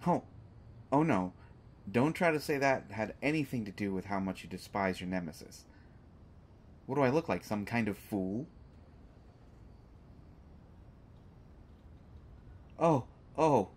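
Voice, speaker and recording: A man's voice: mid-range voice, animated, a little slow. A man playing a sarcastic, unafraid hostage who teases a villain in an audio drama, with exaggerated mock reactions. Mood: mocking disbelief. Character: sarcastic, unafraid male hostage taunting a lovesick supervillain. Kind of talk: scripted roleplay audio drama monologue.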